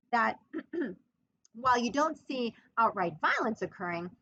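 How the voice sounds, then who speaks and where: Expressive woman lecturing, lively pitch. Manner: emphatic, expressive storytelling with dramatized quotes. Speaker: history lecturer. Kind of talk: podcast lecture. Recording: muffled lo-fi recording.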